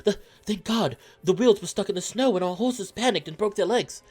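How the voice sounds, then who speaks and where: A man's voice: medium pitch, animated, fast talker, a bit bright, soft background music, pitched above the performer's own voice. A man voicing a polite, fretful goat servant in a story, stammering as he shivers. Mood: panicked relief. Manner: stammering, breathless with cold.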